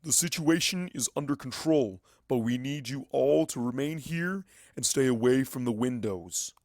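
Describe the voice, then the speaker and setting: Man doing a loud commanding pony guard. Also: medium pitch, dry recording, clean studio sound, pitched above the performer's own voice, natural voice. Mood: commanding, controlled. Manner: loud, drawn-out announcing. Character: male pony royal guard, commanding and authoritative.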